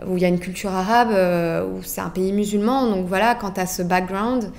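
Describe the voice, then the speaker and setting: Lively, candid woman guest. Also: medium pitch, gravelly. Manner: candid, lively, quick to laugh. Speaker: podcast guest. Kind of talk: casual conversational interview.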